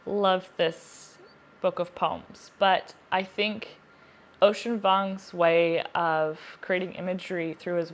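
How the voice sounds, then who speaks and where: Woman chatting casually about books. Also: mid-range voice. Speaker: book reviewer, video host. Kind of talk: casual chatty book review to camera.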